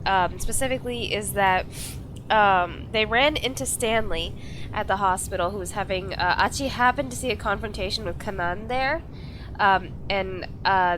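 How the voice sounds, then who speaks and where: A woman's voice: medium pitch, noisy background. A woman with a stuffy nose and slight cold, chatting casually and rambling with lots of fillers as she streams a visual novel. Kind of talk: casual live-stream chat.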